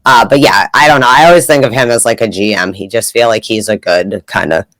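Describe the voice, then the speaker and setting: A man's voice: fairly low pitch, brisk, fairly bright tone, no echo. A man co-hosting a casual hockey podcast, chatty and rambling, full of "like". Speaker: podcast co-host. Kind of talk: casual chat.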